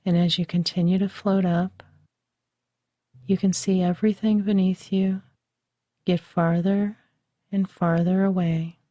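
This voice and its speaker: A woman guiding a relaxation meditation, speaking softly and soothingly, recorded dry and close as a voice-over. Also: fairly low pitch, flat delivery, dry recording. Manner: slow, soft and soothing. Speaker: meditation guide.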